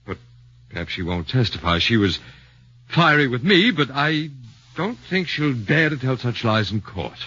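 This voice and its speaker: An actor playing an earnest, cautious defence lawyer, formal and deliberate, in a 1940s radio drama. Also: male voice, medium pitch, very animated, sharp tone, slightly rough, full character voice. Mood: grave, worried. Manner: formal, deliberate, hesitant pauses. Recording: dull 1940s radio recording.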